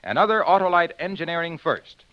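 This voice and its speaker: An American man with a fairly high, very animated voice pitches like a 1940s radio announcer. His emphatic, folksy sales patter comes through a dull vintage recording. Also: brisk.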